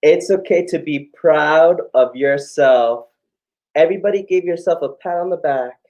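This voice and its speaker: A drag queen reads aloud to children with theatrical flair. The delivery is very unhurried and encouraging, stretching words out, with playful asides in a medium-pitched voice. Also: a little mellow. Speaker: drag queen story time co-host.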